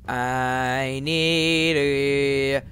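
A man's voice: medium pitch, very slow, faint music behind. Congested, scratchy-voiced teenage male gamer. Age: teens. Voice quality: nasal, scratchy from being sick. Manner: animated, rambling, breaks into shouting and muttering. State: sick, congested voice with cracks. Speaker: gaming YouTuber. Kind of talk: excitable gameplay commentary, casual chat. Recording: clear background noise, clicks.

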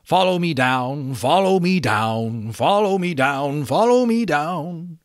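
A man doing a whimsical male butterfly, sing-song and drawn-out, dry close sound. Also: medium pitch, animated, no echo, pitched above the performer's own voice, lightly acted.

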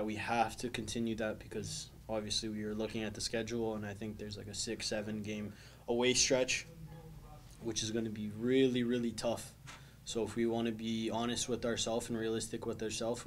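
Young man, flat even voice, post-match. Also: lowish voice, a little hiss or hum. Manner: measured, thoughtful, many ums and restarts. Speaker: soccer player. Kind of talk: post-match press interview. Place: small indoor press room, backdrop wall.

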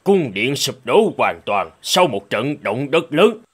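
A man playing a careful archaeologist leading a dig in an animated fairy tale, with an instructive manner. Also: mid-range voice, takes it slowly, breathy edge, full character voice.

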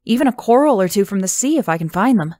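Brief: woman narrator doing a bright, eager young artist woman, slightly raised voice, dry close sound. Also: medium pitch, lively intonation, fast, dry recording, pitched above the performer's own voice, natural voice.

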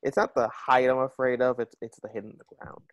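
A man's voice: medium pitch, steady tone, brisk, no echo, highs cut off, close to an everyday voice. A man playing a plainspoken, wry young rebel soldier in a script reading. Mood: focused, wry.

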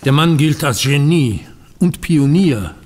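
An actor playing a dutiful, aggrieved banker, formal and earnest, in a German audio drama. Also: male voice, a little high, animated, slow pace, a little mellow, in a large hall. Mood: explaining.

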